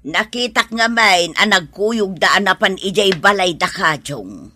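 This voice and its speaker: A woman's voice: medium pitch, lively intonation, measured pace, bright, forceful tone, slight background noise. A woman with an Ilocano accent acting as a nosy, skeptical middle-aged mother in a radio drama. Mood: gossipy.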